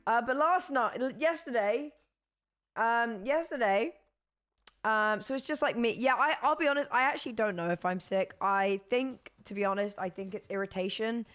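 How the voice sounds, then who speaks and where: A young woman with a British accent chatting casually to her stream viewers while hoarse from losing her voice, rambling and laughing often. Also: a little high, normal speed, fairly bright tone.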